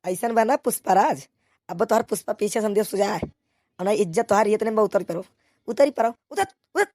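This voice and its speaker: A woman's voice: mid-range voice, a little fast, mellow, no room sound, natural voice. Woman voicing a scolding village cartoon policeman, dry recording. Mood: bossy. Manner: scolding, repetitive commands. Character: exasperated, bossy male village policeman at a checkpoint. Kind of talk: comic cartoon dialogue.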